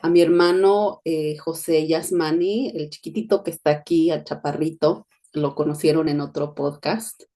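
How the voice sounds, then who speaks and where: A woman's voice: deep voice, steady tone, moderate pace, hardly any pauses, mellow. A middle-aged woman from Mexico, speaking with a neutral Spanish accent as a podcast host in an earnest, reflective monologue. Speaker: podcast host, education consultant.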